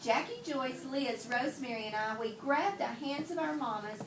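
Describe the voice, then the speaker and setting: A woman teacher reading a picture book aloud to young children in an animated storytelling manner, prompting them with questions and repeating their answers. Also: sits high, normal speed. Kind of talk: expressive read-aloud with questions to pupils. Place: indoor classroom. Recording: roomy, noisy classroom, distant microphone.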